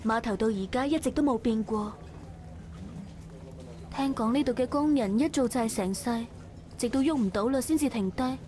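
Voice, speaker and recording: A woman's voice: fairly high pitch, normal speed, long pauses, warm tone, slight background noise, lightly acted. A woman playing a wistful young female dock worker thinking aloud in a visual novel, with a Hong Kong Cantonese accent. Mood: wistful, pensive. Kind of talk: visual novel inner monologue.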